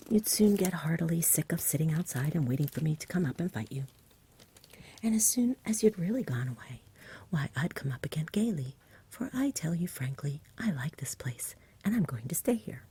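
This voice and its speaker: A woman's voice: fairly low pitch, lively intonation, moderate pace, mellow, full character voice. Whispering, fussy storybook dragon, voiced by a woman. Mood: severe, stubborn. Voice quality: breathy whisper. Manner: polite, old-fashioned, wordy. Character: nervous, fussy, stubborn but genial stay-at-home dragon.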